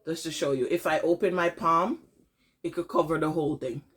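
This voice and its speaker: A young woman with a cold, congested and tired, chatting to her camera at home in a casual vlog update, halting with lots of fillers. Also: steady tone, fairly bright tone, slight background noise. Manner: low-energy, tired, halting with many fillers. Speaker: vlogger.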